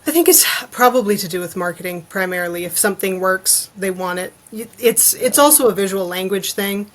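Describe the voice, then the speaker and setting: A woman with a medium-pitched voice talks as an artist guest on a podcast. She explains things in a casual, conversational way, dropping in imagined reactions as quotes. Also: fairly quick.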